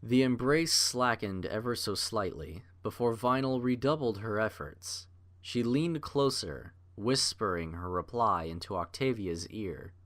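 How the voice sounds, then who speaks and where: Male audiobook narrator, fairly low voice, animated pitch movement, measured theatrical storytelling.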